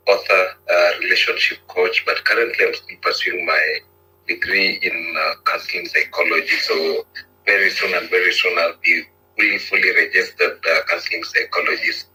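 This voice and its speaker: A man with a Kenyan accent and a deep, strikingly bright, forceful voice. He talks casually as an interview guest and relationship coach, with music audible in the radio-like recording. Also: poor audio.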